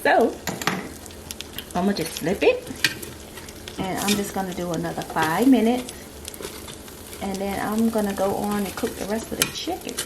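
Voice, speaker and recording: A woman hosting a casual home cooking vlog, her voice stuffy and congested, chatting in an unhurried way with lots of fillers. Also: medium pitch, lively intonation, background noise. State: stuffy, congested voice after a sore throat. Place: home kitchen.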